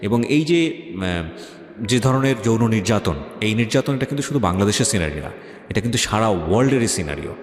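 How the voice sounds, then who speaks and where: A man's voice: fairly low pitch, expressive, a little fast. A man with a Bangladeshi accent hosting a conversational radio interview, mixing English phrases into his talk. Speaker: radio show host. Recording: echoey with long reverb, music behind.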